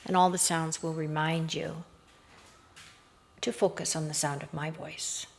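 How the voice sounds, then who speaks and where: A woman leading a slow guided meditation in a measured, hushed voice that often softens into breathiness, recorded outdoors. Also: low voice, little pitch movement, vocal fry, a little hiss or hum. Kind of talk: slow, soothing guided meditation with hypnotic induction. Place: outdoors in a garden. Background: faint outdoor garden ambience.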